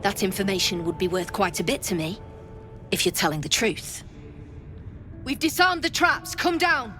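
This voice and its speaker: A woman's voice: mid-range voice, expressive, normal speed, pushed and bright. A woman playing a hard-bitten smuggler boss in a fantasy video game, curt, businesslike and commanding. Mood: suspicious, commanding. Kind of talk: video game character dialogue. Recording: game dialogue over clear background music.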